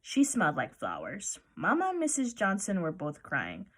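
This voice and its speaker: A woman reading a children's picture book aloud as narrator, with expressive storytelling. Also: lowish voice, normal speed, slightly rough.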